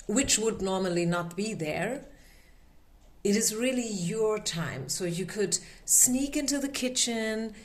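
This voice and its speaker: A woman with a German accent, a non-native English speaker, giving a tarot card reading in an unhurried, gently reassuring way with frequent filler words. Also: mid-range voice, roomy sound.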